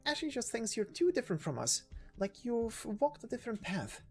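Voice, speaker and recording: A woman's voice: on the high side, big pitch swings, fairly quick, faint music behind, pitched above the performer's own voice. A woman voicing a chatty, cheerful young female knight from a game story, with a folksy, breezy, teasing manner. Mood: chipper.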